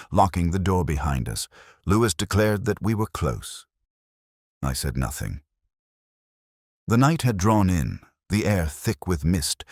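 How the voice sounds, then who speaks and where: A man playing a brooding, scholarly middle-aged detective who narrates his mystery case in a measured, literary, melancholy way. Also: low-pitched, no echo, clean studio sound, exaggerated voice. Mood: somber, reflective. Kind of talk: scripted first-person mystery narration.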